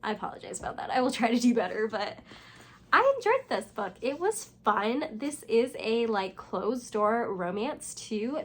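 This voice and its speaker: A young woman with a hoarse voice, still recovering from losing it, chatting casually about books in a vlog and rambling with lots of fillers. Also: a little high. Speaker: booktuber. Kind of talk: casual reading vlog chat.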